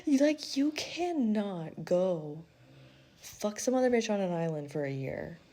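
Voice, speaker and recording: A woman's voice: mid-range voice, very animated, unhurried, mellow. A woman host giving a casual spiritual reading in a blunt, sweary, conversational way, animatedly acting out quotes as she goes. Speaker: tarot-style spiritual reader and host. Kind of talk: casual intuitive reading, chatty advice.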